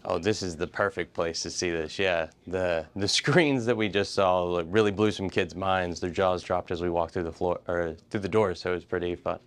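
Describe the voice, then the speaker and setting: Brief: male teacher, low smooth voice, earnest interview bite. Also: fairly even intonation, normal speed, hardly any pauses. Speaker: high school teacher. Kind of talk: interview soundbite.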